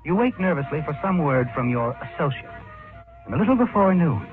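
An actor playing a knowing, ominous narrator of a suspense tale, deliberate and dramatic in 1940s radio style. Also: male voice, on the high side, big pitch swings. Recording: tinny old radio recording, music behind.

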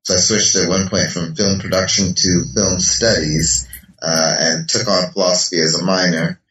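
Man, low voice, hesitant academic guest, roomy echo, distant mic. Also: flat delivery, moderate pace, fairly bright tone, slight background noise. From Canada. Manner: hesitant, many fillers and restarts. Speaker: philosophy professor, interview guest. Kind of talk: conversational interview answers.

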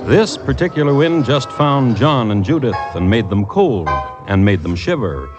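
An actor playing a dry, wry connoisseur of crime, narrating deliberately as host of an old-time radio show. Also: male voice, medium pitch, close to an everyday voice. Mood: wry, ominous. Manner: droll, deadpan, deliberate storytelling. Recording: muffled vintage radio broadcast with music.

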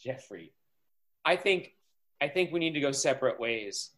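A man's voice: on the high side, expressive, normal speed, fairly bright tone. A man playing a weary, exasperated traveller who is firm with a companion, in improvised sketch comedy.

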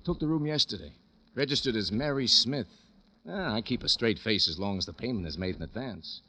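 An actor playing a jaded, rambling hotel clerk who philosophizes self-importantly in an old radio drama. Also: male voice, lowish voice, lively intonation, brisk, bright, forceful tone. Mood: defensive, philosophizing. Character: chatty, jaded male clerk of a seedy hotel. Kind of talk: 1950s radio drama character acting. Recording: dull, noisy vintage radio recording.

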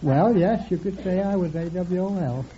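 A dull vintage radio recording carries a very elderly American man, a quiz contestant in his nineties. His voice is very dark and slightly high, and he tells things in a polite, matter-of-fact way. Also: moderate pace. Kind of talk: plain storytelling in interview. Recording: muffled vintage radio recording, faint noise.

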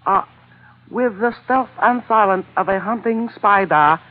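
A tinny old radio recording carries a smiling henchman, pitched high. He speaks in ornate, over-polite phrases, courteous and menacing at once, in classic 1940s radio-play style. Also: a little slow. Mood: smooth, courteous menace. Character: smiling, flowery-spoken male henchman with a gun.

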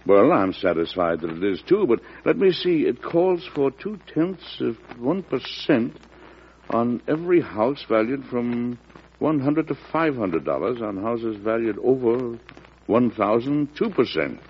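Genial older president, chatty, animated delivery, 1940s radio play, muffled old recording. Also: male voice, medium pitch, unhurried, a little hiss or hum. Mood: easygoing. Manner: chatty and folksy, thinking aloud. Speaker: president narrating his own story.

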